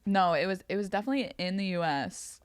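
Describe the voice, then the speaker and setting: Woman, podcast co-host, casual banter, animated pitch movement, dry recording without echo. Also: fast talker.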